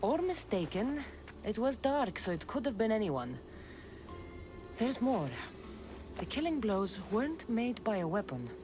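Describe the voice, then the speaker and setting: A woman's voice: a little high, big pitch swings, measured pace, pauses often, music in the background. A woman voicing a tough, level-headed warrior woman in a video game, frank and firm in manner. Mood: measured, serious. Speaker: investigator and fighter. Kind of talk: video game cutscene acting.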